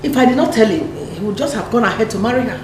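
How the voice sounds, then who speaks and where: A woman's voice: fairly high pitch, lively intonation, rapid-fire, lightly acted. An actress with a Nigerian accent playing a bold, knowing house maid talking to her chief in a film drama. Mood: apologetic. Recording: echoey room, distant, background noise.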